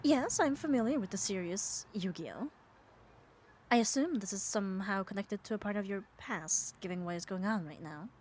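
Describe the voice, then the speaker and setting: Girl voicing strong protective yandere gf for asmr, soft soothing and lovey, slips into whispers, noticeable noise behind her. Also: medium pitch, lively intonation, normal speed, close to an everyday voice. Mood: concerned, comforting. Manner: tender, soothing, often drops to a whisper. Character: muscular, devoted, gently dominant yandere girlfriend. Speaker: ASMR voice performer. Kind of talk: ASMR roleplay, soft intimate acting.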